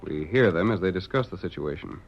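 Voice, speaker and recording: A man narrating a 1938 radio western in a dramatic, animated style. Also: low voice, brisk. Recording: muffled old radio recording with music.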